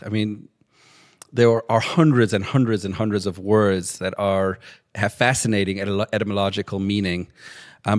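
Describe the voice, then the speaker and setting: Low-voiced author listing his criteria. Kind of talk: interview answers.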